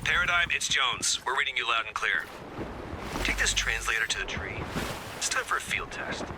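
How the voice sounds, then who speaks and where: A man's voice: medium pitch, expressive, normal speed, heavy background noise, natural voice. An actor playing a wry, harried agent briefing and coaxing his team in a video game. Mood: determined. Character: wry, harried male agent leading a resistance mission. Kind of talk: scripted video game voice acting.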